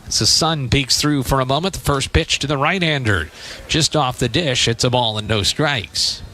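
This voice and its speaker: A man's voice: mid-range voice, moderate pace, pushed and bright, slight background noise. A man doing live radio play-by-play of a baseball game in short, punchy, descriptive phrases. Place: open-air stadium press perch.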